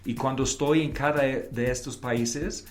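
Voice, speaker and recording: A man from Canada, a native English speaker with a foreign accent in Spanish, answering interview questions haltingly and slipping in English words. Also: mid-range voice, fairly even intonation, a little hiss or hum. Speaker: interview guest, advertising creative and professor.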